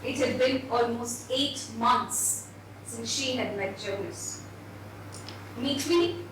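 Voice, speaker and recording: A woman's voice: a little high, takes it slowly. A woman giving a dramatised reading of a story on stage. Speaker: story reader. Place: echoey event hall with audience. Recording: very echoey hall, distant, noisy.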